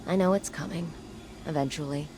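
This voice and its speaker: An actress playing a wry, disillusioned but determined adventurer, reflecting in a game monologue. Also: female voice, low-pitched, a little fast, background noise, close to an everyday voice. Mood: wry, wistful. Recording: clear music underneath.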